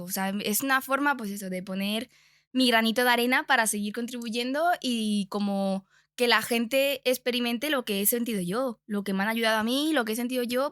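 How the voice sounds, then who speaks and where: A woman's voice: fairly high pitch, fairly bright tone, no echo, clean studio sound. A woman in her twenties with a Castilian Spanish accent, chatting informally and telling personal anecdotes as a podcast guest. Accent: Spanish (Spain). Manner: informal, colloquial. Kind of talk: casual chat, personal anecdotes.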